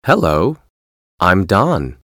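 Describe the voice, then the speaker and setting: A man's voice: sits low, very animated, drawn-out words, fairly bright tone, dry recording, studio quality, heavily acted. A man playing Don, a friendly club member. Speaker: language lesson dialogue character. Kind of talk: scripted textbook dialogue.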